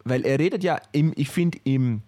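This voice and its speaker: A man with an ordinary mid-range voice in easygoing podcast chat. He explains things calmly and mimics beats with his mouth. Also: mid-range voice, normal speed. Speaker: podcast co-host. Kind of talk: casual chat.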